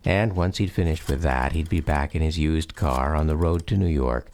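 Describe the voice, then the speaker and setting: A man narrating a radio drama as a grown son recalling his father, in measured, reflective first-person storytelling. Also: very deep voice, darkish tone. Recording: faint noise behind.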